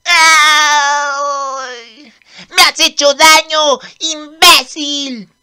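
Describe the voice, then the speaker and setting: A woman's voice: high voice, fairly even intonation, drawn-out words, sharp tone, heavily acted. A woman voicing a cocky young demon prince in a manga dub, brash and commanding. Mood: angry. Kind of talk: Spanish manga dub acting.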